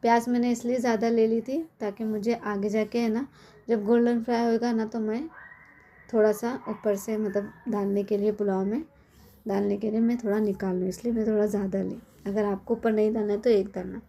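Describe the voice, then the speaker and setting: A middle-aged woman with a hoarse, cold-worn voice, chatting casually as she explains a home recipe step by step in her kitchen. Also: mid-range voice, little pitch movement, a little fast, mellow, slight background noise. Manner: chatty, explains step by step with frequent tag questions. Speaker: cooking channel host. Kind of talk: casual cooking tutorial.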